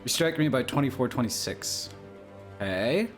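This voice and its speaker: A man's voice: medium pitch, lively intonation, moderate pace, soft background music. A man in his twenties chatting casually with viewers on a live stream, rambling and joking at his own expense. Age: 20s. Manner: rambling, joking, self-deprecating, laughs often.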